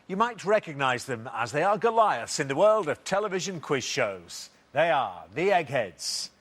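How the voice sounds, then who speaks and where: A man hosting a TV quiz show, reading out questions and bantering lightly with contestants. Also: mid-range voice. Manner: brisk, reads questions clearly, light banter.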